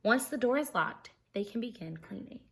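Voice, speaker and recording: A woman's voice: fairly high pitch, animated, normal speed, gravelly. A woman teacher reading a children's picture book aloud expressively, explaining things simply and putting questions to her young listeners.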